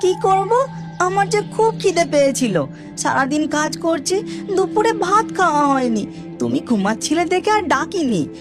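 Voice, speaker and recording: A poor, suffering little boy from a cartoon, voiced by a woman. The voice is extremely high and earnest, pleading plaintively over clear music. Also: big pitch swings, unhurried, exaggerated voice. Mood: plaintive, defensive.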